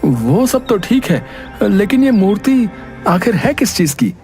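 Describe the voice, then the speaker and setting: A man's voice: high-pitched, big pitch swings, unhurried, a little mellow, faint music behind, exaggerated voice. A man playing a doubtful but gullible market customer, hesitant and questioning.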